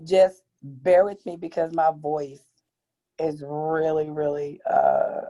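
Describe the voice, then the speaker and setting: A woman with a raspy, hoarse voice telling a personal account on an online panel, halting and pausing often. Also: deep voice, animated, dark tone, lo-fi sound. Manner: slow, halting, with long pauses. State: hoarse from tear gas. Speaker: activist guest. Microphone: video call.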